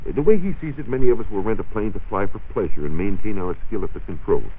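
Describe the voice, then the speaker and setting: A man's voice: fairly low pitch, expressive, fast talker, breathy edge. An American man working as a science reporter, giving a semi-scripted talk in 1940s radio style on an old broadcast recording. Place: radio studio. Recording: muffled, narrow-band 1940s radio recording.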